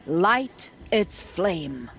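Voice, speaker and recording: Severe game matriarch, lower voice, solemn, extremely slow, ceremonial. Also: female voice, very animated, exaggerated voice. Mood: stern, solemn. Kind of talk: video game cutscene ritual speech. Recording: loud music over the voice.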